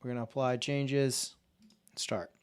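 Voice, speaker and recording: A man hosting a casual software tutorial, talking in a relaxed, informal way and thinking aloud as he clicks through settings. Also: mid-range voice, a little slow, clean tone. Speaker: tech tutorial host. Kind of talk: casual screen-share software walkthrough.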